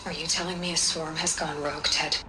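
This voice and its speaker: An actress playing a blunt, sceptical, commanding scientist in a video game, curt and incisive. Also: female voice, low voice, flat delivery, fairly quick, natural voice. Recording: voice amid clear noise.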